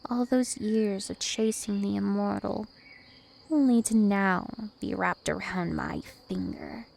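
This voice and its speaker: Casting: woman as an immortal vampire princess for ASMR roleplay, teasing and possessive, creaky voice over noticeable insect-like night ambience. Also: mid-range voice, very animated, a little mellow, a little hiss or hum, heavily acted. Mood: smug, teasing. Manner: slow, deliberate, teasing and possessive.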